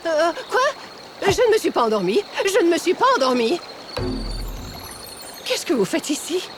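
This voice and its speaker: A dozy, flustered cartoon lady, repeating herself. Her very high voice swoops widely over music. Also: very animated, normal speed. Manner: flustered, repetitive. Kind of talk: cartoon dubbing. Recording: music behind the voice.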